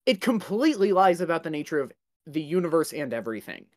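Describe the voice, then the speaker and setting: A man's voice: on the high side, big pitch swings, fast. A man chatting casually as a podcast panelist, emphatically restating points and acting out bits of dialogue. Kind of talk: casual chat.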